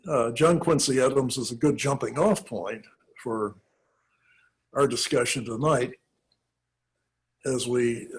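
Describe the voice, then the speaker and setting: A man, a minister, giving an informal talk on church history, thoughtful and halting with many pauses. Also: mid-range voice, slightly rough.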